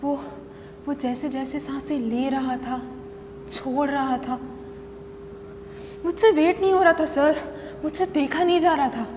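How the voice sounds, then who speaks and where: A woman acting a dramatic monologue as a battered, unhinged wife who confesses to killing, pleading and halting, repeating her phrases. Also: very high-pitched. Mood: eerily hushed. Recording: long echoing hall reverb, faint noise.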